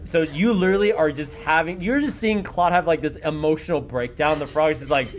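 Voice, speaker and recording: A man's voice: on the high side, brisk. A man acting as game master, improvising casual, conversational narration for a tabletop fantasy game.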